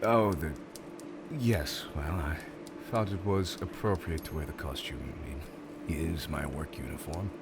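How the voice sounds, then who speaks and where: A man's voice: extremely low pitch, unhurried, background noise. A man playing a theatrical, polite but exasperated supervillain interviewer in a roleplay audio drama, hesitant and mock-professional, prone to chuckling. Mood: flustered, dry.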